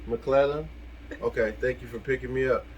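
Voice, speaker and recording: A man co-hosting a casual vlog, giving informal, appreciative shout-outs in a heartfelt way. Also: mid-range voice, fairly even intonation, normal speed, highs cut off, a little hiss or hum. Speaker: co-host of a video channel. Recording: music playing behind the voice. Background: background music.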